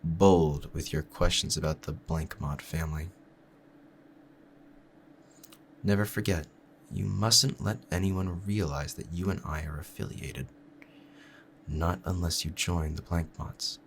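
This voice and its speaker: A man playing a calm, proud assassin in love, both menacing and tender, talking to the listener in a measured, formal, confiding way in an audio roleplay. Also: low voice, slight background noise. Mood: quiet threat. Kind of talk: scripted romantic ASMR audio roleplay.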